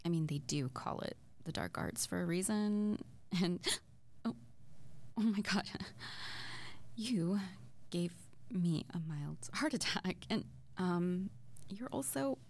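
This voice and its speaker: A woman playing an excitable, playful young witch in an intimate ASMR audio roleplay, speaking softly and breathily, often near a whisper, in a halting murmur. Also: lowish voice, heavily acted. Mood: puzzled, discouraged, then startled. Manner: halting, giggly, murmuring to the listener.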